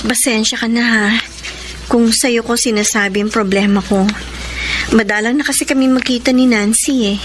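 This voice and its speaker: An actress with a Filipino accent playing a young, defensive married student in a radio drama. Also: female voice, a little high, fairly even intonation, moderate pace, loud music behind the voice, close to an everyday voice. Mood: confiding.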